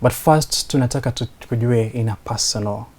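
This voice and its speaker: A man hosting an interview show, speaking in a measured, hesitant way with many pauses. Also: mid-range voice, darkish tone. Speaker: host.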